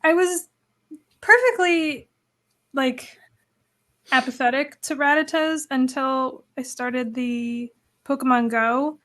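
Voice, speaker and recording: A woman's voice: high-pitched, steady tone, slow pace, long pauses, fairly bright tone. A woman artist chatting casually while drawing, with dry, offhand humor. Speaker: guest artist.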